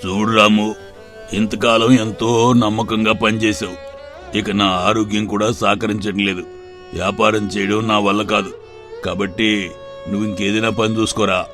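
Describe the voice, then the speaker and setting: A man's voice: lowish voice, level tone, normal speed, fairly bright tone, faint music behind, close to an everyday voice. A man playing a kindly village grocery owner in a moral story, talking familiarly and rustically as a trusting boss. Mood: weary. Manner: familiar, rustic employer's talk. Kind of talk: dramatized moral story for video.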